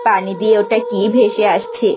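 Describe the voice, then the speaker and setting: A woman's voice: medium pitch, little pitch movement, moderate pace, music in the background, close to an everyday voice. Cartoon role: woman as a kind plant spirit, urgent mid-range voice. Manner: urgent, caring. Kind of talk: Bengali cartoon dubbing.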